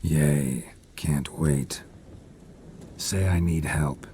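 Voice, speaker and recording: An actor playing a weary, gruff male monster hunter with terse, dry, sarcastic delivery in a video game. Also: a bass voice, drawn-out words, dark tone, slight background noise, heavily acted. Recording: game cutscene with music score.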